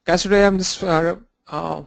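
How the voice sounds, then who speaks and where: A man with a cold and a blocked nose, teaching an online training lesson with halting fillers and restarts. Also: high-pitched, steady tone, vocal fry. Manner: halting, restarts and fillers, walks through screens. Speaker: instructor.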